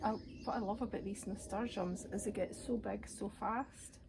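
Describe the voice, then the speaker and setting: Raspy-voiced woman in her garden. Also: mid-range voice, steady tone, moderate pace, heavy background noise. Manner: chatty, thinking aloud as she works. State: raspy voice today. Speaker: gardening vlogger. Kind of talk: casual chat while gardening. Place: outdoor garden. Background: birdsong.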